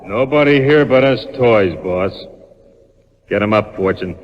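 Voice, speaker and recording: A menacing gangster played by a man in an old-time radio crime drama. He has a low-set voice and spits threats and wisecracks in tough-guy slang. The sound is muffled, with a long reverb tail. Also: measured pace, a little hiss or hum, natural voice.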